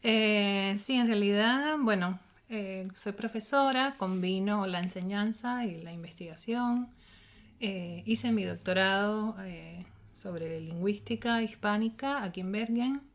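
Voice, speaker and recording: A woman's voice: mid-range voice, unhurried. A woman in her forties with a Cuban accent, a linguistics professor talking in an interview. She answers thoughtfully, with many fillers, and laughs easily. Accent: Cuban Spanish. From Cuba.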